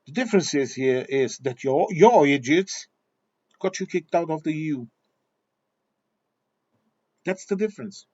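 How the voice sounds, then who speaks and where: A man's voice: on the high side, expressive, a little slow, long pauses, dark tone, no room sound, highs cut off. A man with a Portuguese accent, Portuguese his first language, delivering a blunt, combative monologue straight at his viewers. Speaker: video commentator. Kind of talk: ranting monologue.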